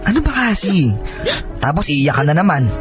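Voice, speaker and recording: A man's voice: fairly high pitch, sing-song, moderate pace, over music. A man playing a tired, defensive but caring working husband in a Tagalog radio drama, sounding exasperated. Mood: weary, exasperated.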